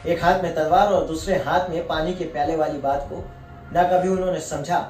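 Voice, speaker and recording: Merciless veteran colonel for a stage drama, male actor: high male register, solemn and deliberate, recorded off-mic with faint music. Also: steady tone, a little mellow, lightly acted. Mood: proud, reminiscing. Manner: grave, deliberate, drawn-out emphasis on key phrases. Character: proud, merciless war-veteran colonel presiding over a court martial. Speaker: stage actor performing a monologue. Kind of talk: dramatic theatrical Hindi monologue. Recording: echoey room, distant mic, faint music.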